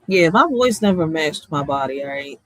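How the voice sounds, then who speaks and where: A woman's voice: low voice, moderate pace, no room sound. A woman with a small, girlish voice, chatting casually in blunt slang and often raising her voice as a streamer. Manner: animated, blunt, slangy, raises voice. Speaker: streamer host. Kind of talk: casual reaction chat.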